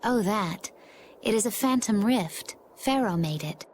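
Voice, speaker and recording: An actress playing a composed, mysterious woman adventurer in an anime-style game, speaking calmly. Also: mid-range voice, a little slow, slight background noise, close to an everyday voice.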